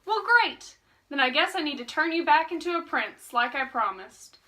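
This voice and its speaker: A woman acts out a brisk, impatient wizard in a puppet show. The voice is high and bright, bossy and instructive. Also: lively intonation, slight background noise. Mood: skeptical. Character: bossy, bargaining math wizard who teaches.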